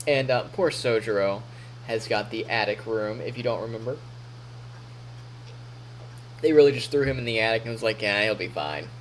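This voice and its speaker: Lively male streamer narrating a story. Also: mid-range voice, slight background noise, rough recording. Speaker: live streamer reading a visual novel. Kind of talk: narration read aloud with casual asides.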